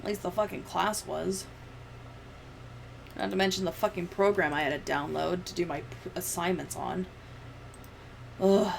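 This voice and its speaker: A woman's voice: lowish voice, steady tone, moderate pace, pauses often. A young woman chatting casually on a livestream in a sweary, sardonic manner. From United States. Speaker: livestreamer editing a video. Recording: clear background noise, room ambience.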